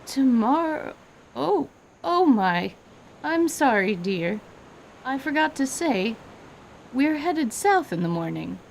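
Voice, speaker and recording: Woman voicing a gentle elderly grandmother, pitched up. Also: big pitch swings, gravelly, a little hiss or hum, exaggerated voice, poor audio. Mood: apologetic. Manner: doting, gentle, measured. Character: kindly elderly grandmother with a cane, gently stern.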